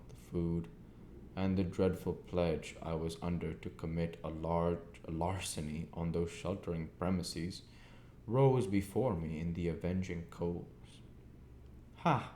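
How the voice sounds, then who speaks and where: A man narrating a classic storybook as an amateur reader, with deliberate, slightly halting phrasing. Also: sits low, warm tone. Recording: echoey room, faint background noise.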